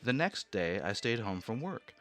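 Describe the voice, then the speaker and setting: Man narrating with a lively low voice. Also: normal speed, soft background music. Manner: anxious, increasingly obsessive storytelling. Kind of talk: first-person narration in a radio drama.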